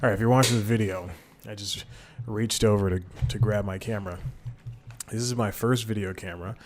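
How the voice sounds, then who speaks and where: Young male podcast host with a lowish voice, rambling and self-correcting, casual solo talk. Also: fairly even intonation, brisk, background noise. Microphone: desk microphone.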